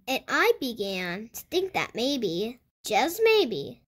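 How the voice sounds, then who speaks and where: A woman reading a picture book aloud to children in an animated storytelling style. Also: a little high, takes it slowly. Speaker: children's storybook reader and host. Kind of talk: animated read-aloud narration for kids.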